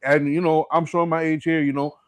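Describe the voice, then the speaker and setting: A man's voice: on the high side, flat delivery, a little fast, clear, resonant tone, no room sound. A middle-aged American man hosting a podcast in casual, slangy, streetwise conversation. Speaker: podcast host. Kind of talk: casual chat.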